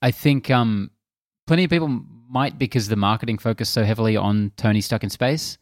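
Lowish-voiced man, dry podcast sound. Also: brisk, no echo. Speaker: film review podcast co-host. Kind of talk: casual film discussion.

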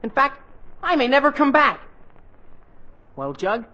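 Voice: sits high, very animated, unhurried, pushed and bright, exaggerated voice. An actor playing an earnest, melodramatic teenage boy in a 1940s radio comedy, with a hesitant, plaintive manner. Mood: despondent, indignant. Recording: muffled 1940s radio broadcast recording.

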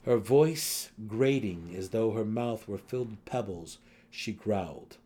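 A man giving a dramatic, theatrical reading of a fantasy novel as an audiobook narrator, with measured phrasing. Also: lowish voice, expressive, warm tone, slightly rough.

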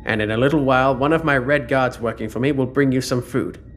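A man's voice: medium pitch, fairly even intonation, quick pace, faint music behind, lightly acted. An actor playing an imperious, secretive man of power in a sci-fi audio drama, commanding and haughty. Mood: coolly dismissive.

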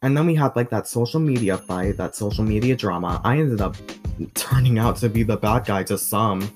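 A teenage boy with a deep voice, hosting his own vlog in a chatty, blunt rant that swears freely. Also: moderate pace, darkish tone, faint music behind. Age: teens. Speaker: vlog host. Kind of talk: casual ranting monologue.

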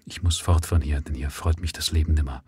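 A man's voice: low voice, steady tone, brisk, darkish tone, husky, no room sound. A man voicing a trembling, pleading widower. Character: gaunt pale widowed ex-convict father, pleading.

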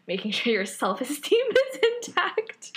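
A young woman co-hosting a podcast in casual chat, with a loud voice that projects and an energetic way of talking. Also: high voice, takes it slowly. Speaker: podcast co-host.

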